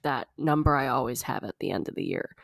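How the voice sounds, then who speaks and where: A casual podcast host rambles through notes on upcoming shows, sounding slightly congested. The voice sits in the middle of the range with a gravelly, fry-heavy edge. It is recorded close to the mic in a dry, deadened space. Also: medium pitch, animated, a little fast, a bit bright, no room sound. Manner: chatty, rambling, frequent "like" and "uh". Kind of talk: casual solo podcast chat.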